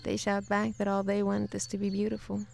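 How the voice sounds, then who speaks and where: A woman from Sweden with a Swedish accent, chatting casually as a studio guest and then reading her poetry slowly and deliberately. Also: mid-range voice, monotone, gravelly, darkish tone. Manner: laughs easily, reads poetry slowly and deliberately. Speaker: guest poet. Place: radio studio.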